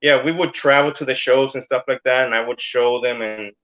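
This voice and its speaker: Middle-aged man, interview guest, hesitant, lots of fillers, bright tense voice, dull lo-fi sound. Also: medium pitch, fairly even intonation, a little fast. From Cuba. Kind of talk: casual interview.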